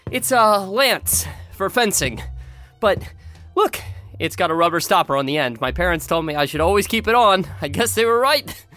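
Eager, high-pitched nerdy college boy. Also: lively intonation, moderate pace, pushed and bright, faint music behind. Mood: eager, friendly. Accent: American. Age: young adult. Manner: polite, eager, quaintly formal. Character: earnest, sheltered, nerdy male college freshman. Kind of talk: audio drama dialogue.

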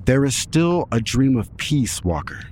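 A man's voice: medium pitch, sing-song, a little slow, a little mellow, a little hiss or hum. A man playing an elegant, courteous nobleman suitor in a fantasy audio drama, polite and hopeful. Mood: composed, hopeful. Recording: faint music behind.

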